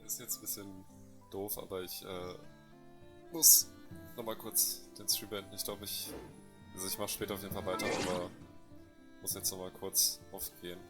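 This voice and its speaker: A man's voice: low voice, little pitch movement, moderate pace, long pauses. A man who is a native German speaker, chatting casually and a little hesitantly as he livestreams a game. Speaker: game streamer. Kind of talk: casual livestream chat over gameplay. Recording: loud game music behind voice. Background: game music.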